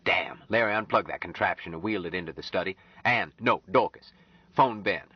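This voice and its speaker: A gruff, sardonic older man with a low, dry delivery and blunt remarks, as an audiobook narrator plays him. The tone still moves a fair amount. The audio sounds slightly dull. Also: expressive, moderate pace, no echo. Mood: brusque.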